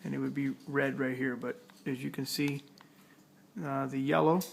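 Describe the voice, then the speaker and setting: A man's voice: mid-range voice, flat delivery, moderate pace, creaky, slight background noise. A man giving a casual hands-on tutorial, talking plainly step by step as he works at a repair task. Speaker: electronics hobbyist demonstrating a repair.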